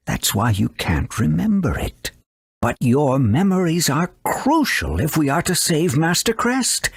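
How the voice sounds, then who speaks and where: Video game owl, old and earnest: gravelly voice with big swoops in pitch, caring and theatrical. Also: male voice, no echo, studio quality, heavily acted. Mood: earnest, gentle. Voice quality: raspy, creaky. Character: fussy elderly talking owl guardian, caring and earnest.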